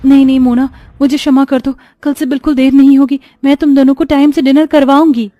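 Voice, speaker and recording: A woman's voice: high-pitched, level tone, fairly quick, mellow, no echo, lightly acted. A woman playing a kind, mistreated young stepsister in a moral story, meek and pleading. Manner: pleading, submissive. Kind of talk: animated Hindi moral story acting.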